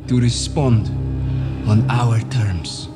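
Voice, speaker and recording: A man playing a cold, zealous Russian ultranationalist warlord, speaking with a Russian accent in a deliberate, emphatic villain's monologue. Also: medium pitch, loud music behind the voice, close to an everyday voice. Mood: cold menace.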